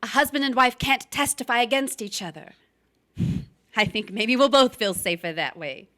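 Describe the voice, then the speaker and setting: A woman plays a scheming noir wife with murder behind her. Her voice is fairly high and bright with a hard, forceful edge. She is steely and pointed, in the manner of stage melodrama. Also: animated, normal speed, soft background music. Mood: cold, suspicious. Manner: pointed, accusatory, dramatic. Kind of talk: noir stage drama dialogue.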